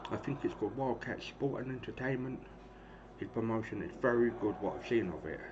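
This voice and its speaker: A hoarse man in his thirties casually calling the action of a wrestling game he is streaming, rambling and clearing his throat often. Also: medium pitch, fairly quick. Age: 30s. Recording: dull lo-fi stream audio with clear noise.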